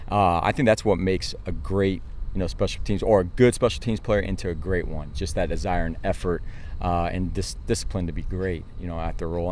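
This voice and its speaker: A man's voice: sits low, a little mellow, a little hiss or hum. An American man, a football coach, answering interview questions in an earnest, explanatory and informal way.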